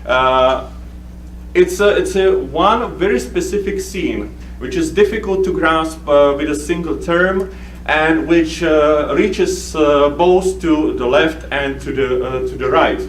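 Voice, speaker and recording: A man from the Czech Republic with a Czech accent, giving a conference talk as a researcher, speaking haltingly with many fillers and restarts. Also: fairly high pitch. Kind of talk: conference presentation. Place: conference hall. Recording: echoey room recorded from a distance with faint hum.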